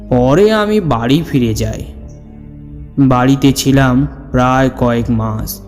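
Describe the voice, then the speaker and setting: Slow-paced male storyteller in long reverb. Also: medium pitch, a little mellow. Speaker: storyteller narrating a ghost story. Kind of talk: dramatic scripted horror story narration. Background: background music.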